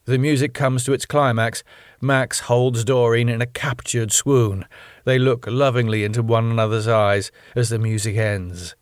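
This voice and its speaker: A man narrates a sitcom script in a mid-range voice. His phrasing is measured, deliberate and a little dramatic. The sound is dry, with almost no room. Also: medium pitch, steady tone, dry recording.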